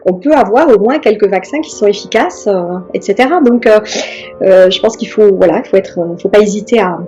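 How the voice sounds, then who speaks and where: A middle-aged woman from France talks slowly and reflectively as an interview guest, often pausing and starting over. Her voice is mellow and sits in a middle register. Faint music plays in the background. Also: medium pitch. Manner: hesitant, many pauses and restarts.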